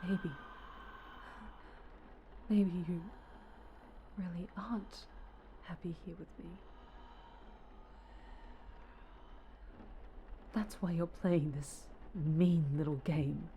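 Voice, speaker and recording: A woman playing a sinister, obsessive, possessive female superhero in an ASMR roleplay, halting and menacing, often dropping into whispers. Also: lowish voice, fairly even intonation, warm tone, highs cut off, slight background noise. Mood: wounded, brooding.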